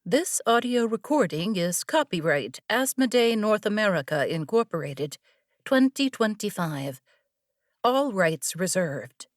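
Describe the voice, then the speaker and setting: Female audiobook narrator, measured and slow, mid-range voice, dry close recording. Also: medium pitch, sing-song, dry recording. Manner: measured, deliberate storytelling. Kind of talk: scripted story narration.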